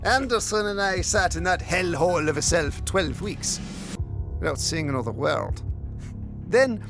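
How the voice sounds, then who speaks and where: An actor playing an eccentric detective inspector in a comic audio drama, pompous and given to sentimental storytelling. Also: male voice, sits high, lively intonation, moderate pace, vocal fry, over music. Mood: dramatic storytelling.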